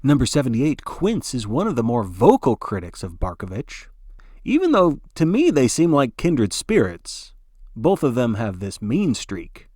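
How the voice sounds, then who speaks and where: A man's voice: medium pitch, wildly swooping pitch, measured pace, a little mellow, no room sound, studio quality. A man narrating like a sports broadcaster, giving dramatic play-by-play in a theatrical, folksy announcer style.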